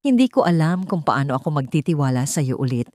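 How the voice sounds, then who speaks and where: A woman's voice: deep voice, big pitch swings, fast talker, darkish tone, no room sound, clean studio sound. A woman voicing a hurt, betrayed young woman who confronts her crush in an accusing, wounded way, in a story. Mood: hurt, doubtful.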